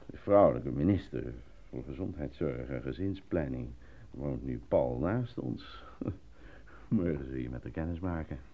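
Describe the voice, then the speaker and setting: An actor in a radio play voicing an eager, naive, easily flustered man who stammers and gets excitable. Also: a bass voice, a little slow, vocal fry, full character voice. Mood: boastful, excited. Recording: muffled lo-fi old radio recording.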